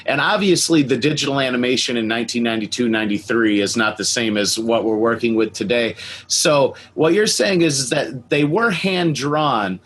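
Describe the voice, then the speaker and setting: A man's voice: mid-range voice, moderate pace, fairly bright tone. Male host for a fan interview: mid-range voice, informal and keen, slightly noisy recording. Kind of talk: casual interview chat.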